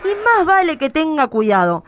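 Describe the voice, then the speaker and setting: A woman's voice: high-pitched, sing-song, moderate pace, fairly bright tone, slight background noise. A woman playing a stern, exasperated female police chief in a radio drama. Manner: firm, scolding. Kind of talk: amateur radio drama acting.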